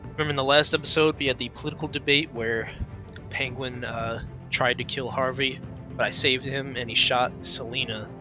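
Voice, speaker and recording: A hoarse young man losing his voice, chatting casually as a gaming streamer over game audio. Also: medium pitch, steady tone, moderate pace. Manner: chatty, apologetic. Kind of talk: casual let's play commentary. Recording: game music clearly behind. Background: game music.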